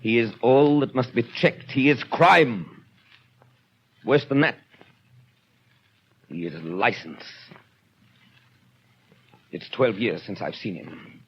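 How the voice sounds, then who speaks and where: An actor playing a stern, vengeful police inspector in a grave, declamatory 1930s radio drama style. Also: male voice, mid-range voice, expressive, slow, long pauses, slightly rough, exaggerated voice. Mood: bitter, resolute. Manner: grave, declamatory, clipped pronouncements. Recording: muffled 1937 radio broadcast, music behind.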